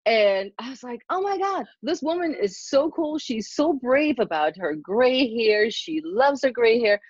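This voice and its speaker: A middle-aged woman from Germany hosting a podcast interview, excited and effusive as she introduces her guest. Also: a little high, measured pace, no room sound. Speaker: podcast host. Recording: dull dry lo-fi sound.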